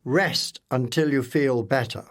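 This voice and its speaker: A man voicing a kind elderly gentleman, a retired lawyer, speaking gently and with concern. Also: mid-range voice, unhurried, roomy sound, big-room sound, close to an everyday voice.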